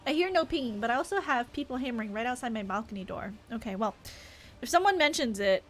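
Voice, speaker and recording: High-voiced playful young woman streamer. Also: animated, fast, faint music behind. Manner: playful, chatty, reacting aloud. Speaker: livestreamer. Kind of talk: casual gaming stream chat. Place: at home, live stream. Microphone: streaming microphone.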